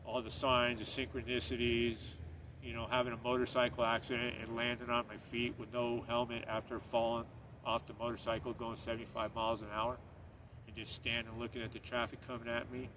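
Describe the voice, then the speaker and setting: A man's voice: medium pitch, completely flat delivery, brisk. A middle-aged man from Northern Ireland, hosting his own channel and talking to camera in an earnest, rambling way that circles back on itself. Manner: earnest, rambling, repeats himself. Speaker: channel host. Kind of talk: casual monologue to camera. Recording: distant mic, street noise.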